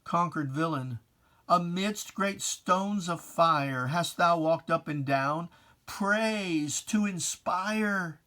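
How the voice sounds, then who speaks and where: Poetry reader, solemn and theatrical, very measured pace, mid pitch, for dramatic religious verse. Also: bright, forceful tone. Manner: solemn, theatrical, drawn-out phrasing.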